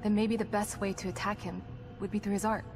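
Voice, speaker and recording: An actress playing a composed, guarded psychologist in a video game, speaking in precise, formal clinical terms. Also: female voice, mid-range voice, level tone, a little fast, close to an everyday voice. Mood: clinical, focused. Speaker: psychologist character in a horror game. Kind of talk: video game cutscene acting. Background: background music.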